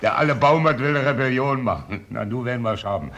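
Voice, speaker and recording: An actor playing a jovial, mocking tavern regular with a Silesian accent, teasing and exclaiming in a German radio play. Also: male voice, medium pitch, expressive, fast talker, a bit bright, highs cut off. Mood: gleeful.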